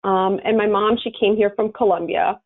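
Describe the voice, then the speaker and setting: A woman's voice: medium pitch, steady tone, moderate pace. A woman from the United States explaining things earnestly, with examples, as an advisor being interviewed. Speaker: interview guest, estate planning and tax advisor. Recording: thin, narrow phone-line sound.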